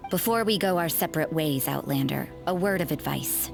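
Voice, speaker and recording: A stern, sardonic nun from an anime fantasy game. Her voice sits low, and she speaks with cool, deliberate suspicion and a dry edge, with music underneath. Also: creaky. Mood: cautioning. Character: stern, wary, world-weary sardonic nun investigator, adult woman.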